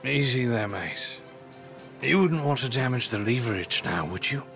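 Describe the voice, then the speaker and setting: Sly, swooping rogue pirate. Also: male voice, medium pitch, expressive, normal speed, a bit bright, faint music behind. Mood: sly wheedling. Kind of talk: dramatic video game acting.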